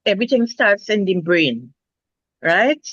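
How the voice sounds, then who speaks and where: A woman's voice: mid-range voice, big pitch swings, unhurried, a bit bright, dry recording, highs cut off. A woman nurse speaking earnestly in a casual health talk, restarting words as she thinks. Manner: earnest, repeats herself while finding words. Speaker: nurse, guest.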